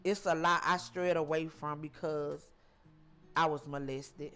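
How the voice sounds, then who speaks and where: A woman in her forties tells her life story in an interview, her voice sitting on the lower side. She stops and starts, circles back and repeats herself, filling gaps with ums. Faint music plays behind her. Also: steady tone, measured pace, a bit bright, clean tone. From Georgia, US. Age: 40s. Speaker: interview guest.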